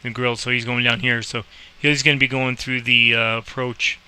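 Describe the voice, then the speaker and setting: Male game tutorial host, informal and rambling. Crisp, very forward tone, little melodic movement, switches into controller phrasing. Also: medium pitch, flat delivery, moderate pace, bright, forceful tone, low-quality recording. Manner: informal, rambling, thinking aloud. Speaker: host explaining a game and playing air traffic controller. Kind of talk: casual screen-recorded game tutorial with radio calls.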